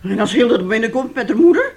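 An actress in a radio drama playing a bitter, middle-aged wife who nags with reproach and theatrical sarcasm. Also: female voice, fairly high pitch, very animated, quick pace, darkish tone. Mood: mocking. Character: bitter, nagging, mocking wife of a failed composer.

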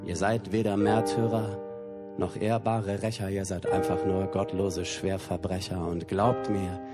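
A man's voice: little pitch movement, measured pace. A man reciting a spoken-word poem in a declamatory manner. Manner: declamatory, rising to impassioned high-pitched outbursts. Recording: loud guitar music behind.

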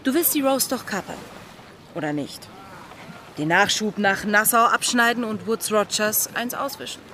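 An actress playing a commanding, impatient young female pirate in an audio drama, speaking with sharp insistence. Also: mid-range voice, moderate pace, a little hiss or hum, close to an everyday voice. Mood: urgent, insistent.

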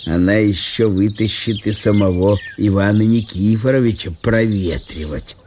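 A man's voice: on the low side, moderate pace, a little mellow, slight background noise, close to an everyday voice. A man playing a curious, covetous country landowner in a radio monodrama, given to musing murmurs and sudden calls. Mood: scornful.

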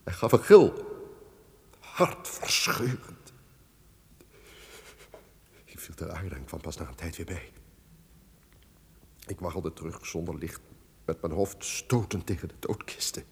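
An actor playing a tyrannical, haunted and wrathful duke in an old radio drama, declaiming melodramatically. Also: male voice, low-pitched, expressive, measured pace, pauses often, darkish tone. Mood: haunted, shaken. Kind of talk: old radio play acting. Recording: noisy old radio recording.

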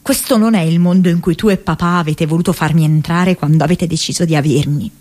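An actress playing an earnest, distressed young woman activist in a radio monologue, impassioned and halting, repeating words as she goes. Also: fairly low pitch, steady tone, a little mellow, lightly acted. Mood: frightened, weary. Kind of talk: dramatic radio monologue from diaries and letters.

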